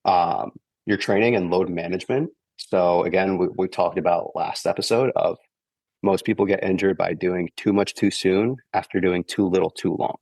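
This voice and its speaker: A man with a very low voice, congested and sniffly after the flu, talks through running injuries at an easy, patient pace. He keeps checking in with "okay?" and fills his sentences with "like". The sound is dry and close to the mic. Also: steady tone, normal speed, creaky, darkish tone, husky, dry recording, poor audio. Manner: explains patiently, with many "like" and "okay?" check-ins. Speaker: podcast guest, running injury expert. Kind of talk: casual explanatory podcast talk.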